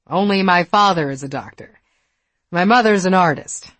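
A cool, guarded New York woman, as voiced by a female audiobook reader. She speaks in a measured, wry deadpan with a slightly low voice. The recording is dry and close. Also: dry recording. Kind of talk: audiobook character dialogue.

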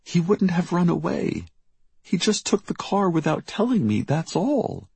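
A man's voice: medium pitch, very animated, normal speed, a little mellow, breathy edge, no room sound, voice pushed up, exaggerated voice. A man voicing an anxious, tearful mother who frets and protests about her missing son in an audiobook story. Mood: defensive, tearful.

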